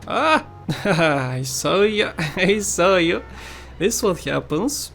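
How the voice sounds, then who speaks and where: A man's voice: sits high, sing-song, takes it slowly, creaky, fairly bright tone, faint music behind. A man with a Bulgarian accent giving casual, playful gameplay commentary, chatting to viewers and often laughing at himself. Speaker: gaming YouTuber.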